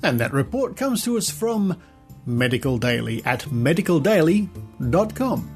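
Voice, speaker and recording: A man presenting a podcast, reading an article aloud in a measured, deliberate way with stressed key words. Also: fairly high pitch, very animated, music in the background.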